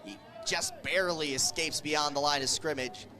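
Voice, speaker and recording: A man with a high-pitched voice gives live radio play-by-play of American football. He speaks as the announcer, following each movement on the field in short, vivid, clipped phrases. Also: normal speed, loud music behind the voice. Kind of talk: live sports play-by-play commentary.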